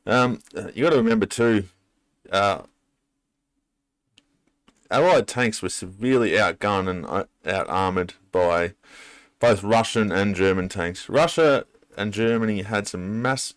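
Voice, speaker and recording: A man's voice: on the low side, measured pace, dry recording. A man giving casual, unscripted commentary as a reaction video host, thinking aloud with some hesitation.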